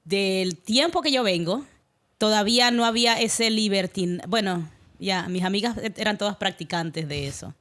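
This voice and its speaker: A woman's voice: mid-range voice, moderate pace, a bit bright. A woman with a Dominican Spanish accent, talking casually as a panelist in a group podcast chat. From Dominican Republic.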